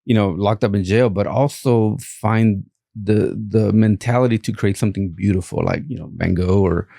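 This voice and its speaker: A man with a Mexican accent, an art collector talking casually as an interview guest, halting with many fillers. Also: on the low side, fairly even intonation, creaky, dark tone, dry recording, clean studio sound.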